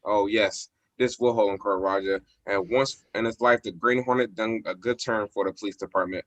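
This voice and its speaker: A man's voice: lowish voice, steady tone, moderate pace, a bit bright, muffled recording, lightly acted. A man playing a brash, slangy policeman in an old-time radio drama. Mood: firm, triumphant.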